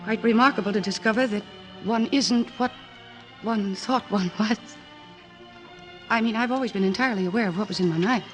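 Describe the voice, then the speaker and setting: A woman's voice: medium pitch, brisk, long pauses, soft background music, natural voice. Actress as earnest, lovestruck woman doctor, vintage radio. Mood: tender, bewildered. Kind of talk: 1940s radio drama acting. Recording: restored old radio broadcast.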